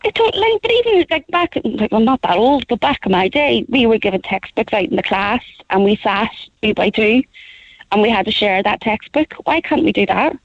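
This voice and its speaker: A woman's voice: normal speed, dry recording, highs cut off. Belfast woman calling in, emphatic. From Northern Ireland. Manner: lively, emphatic, pitch jumps up when stressing points. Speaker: caller, back-to-school campaign organiser. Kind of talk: phone-in radio chat.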